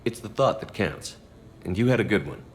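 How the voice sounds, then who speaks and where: Game role, man acting supportive military commander. Very deep, composed, dry-humoured, long reverb and some noise. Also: animated, fairly quick, natural voice. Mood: steady, supportive. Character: male military commander, steady, wry, supportive human soldier. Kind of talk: video game dialogue acting.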